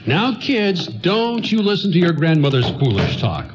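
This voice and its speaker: A man's voice: fairly high pitch, huge pitch swings, moderate pace, over music. An actor playing a flustered, earnest businessman and father in an old-time radio drama. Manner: flustered, hesitant. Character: harried, earnest 1940s family man running a talent agency. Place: stage radio production before an audience.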